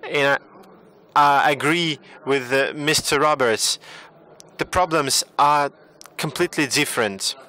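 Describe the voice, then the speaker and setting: A man from Tunisia speaks up from the audience, earnest and a little repetitive as he makes his point. His voice is bright and forward, pitched in the middle range. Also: a little slow. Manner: earnest, repeats himself. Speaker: audience member. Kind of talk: question from the floor.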